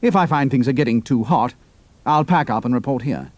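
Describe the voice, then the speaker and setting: A male actor plays a brisk, plucky young flying officer in an audio drama. He has a British accent and a mid-range voice with lively, mobile intonation. The recording is very dry. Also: medium pitch, dry recording, close to an everyday voice. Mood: clipped, cool.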